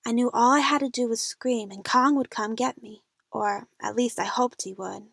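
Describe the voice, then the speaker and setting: A woman's voice: a little high, steady tone, moderate pace, vocal fry, a bit bright, no echo, studio quality. A woman playing a frightened young female adventure fan, narrating her own jungle ordeal in a scripted audio drama. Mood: fearful, suspenseful.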